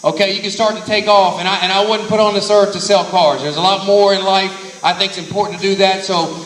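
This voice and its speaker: Energetic man in his thirties, high and bright, preaching to kids in a large echoey hall. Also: quick pace, distant mic. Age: 30s. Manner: energetic, repetitive, preacherly emphasis. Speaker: guest speaker. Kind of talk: motivational speech to kids. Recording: echoey hall recorded from afar.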